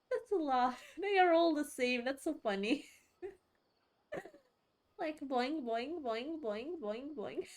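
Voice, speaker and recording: A woman giving casual live gameplay commentary, giggly and halting, often stumbling over and repeating her words. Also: sits high, big pitch swings, darkish tone. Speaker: game streamer.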